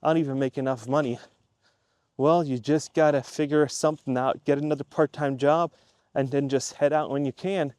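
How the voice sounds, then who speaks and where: A man's voice: mid-range voice, steady tone, moderate pace, darkish tone, no echo. A man from Switzerland who runs a YouTube channel, talking casually to the camera in a chatty, rambling way and repeating himself for emphasis. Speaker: YouTube creator.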